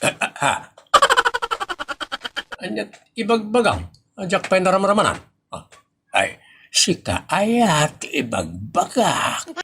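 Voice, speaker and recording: A man playing a hot-tempered, stubborn man in a comedy audio drama, with an Ilocano accent and a husky, excitable, stammering delivery. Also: high-pitched, slow, pauses often, slight background noise, heavily acted. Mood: flustered.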